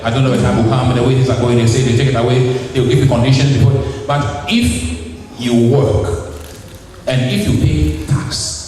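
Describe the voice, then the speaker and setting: Ghanaian man, middle-aged preacher, mellow dark voice, echoey hall recorded from far off. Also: mid-range voice, a little hiss or hum. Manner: rhetorical questions to the congregation. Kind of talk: sermon. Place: church hall.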